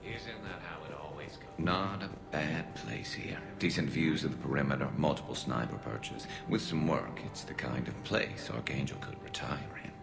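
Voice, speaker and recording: Gravelly, very deep alien sniper. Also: male voice, moderate pace, few pauses. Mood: wry. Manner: wry, cool, understated. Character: dry, battle-seasoned male alien sniper vigilante. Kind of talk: scripted game dialogue. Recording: music under the voice.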